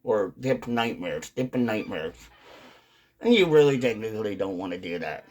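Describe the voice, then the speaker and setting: A man giving casual, rambling commentary to viewers, with drawn-out phrasing, pauses and some casual swearing. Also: lowish voice, very animated, a little mellow. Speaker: video host, hobby commentator.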